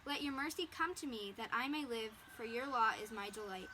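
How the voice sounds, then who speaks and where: A woman's voice: a little high, animated, fairly quick, background noise. A woman reading a passage of scripture aloud. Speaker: reader.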